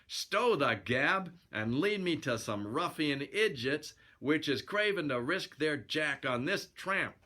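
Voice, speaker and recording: A man's voice: fairly high pitch, expressive, slow pace, hardly any pauses, pushed and bright, pitched above the performer's own voice, exaggerated voice. A man voicing a tough, cocky brawling sailor in a storybook, blunt and slangy.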